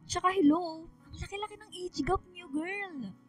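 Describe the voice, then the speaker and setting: A nosy, sassy Filipino teenage girl, voiced by a woman, banters and teases in a radio drama. Her high voice sits slightly away from the mic, with music underneath. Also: moderate pace. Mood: teasing. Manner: teasing, slangy. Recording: distant microphone, music bed underneath.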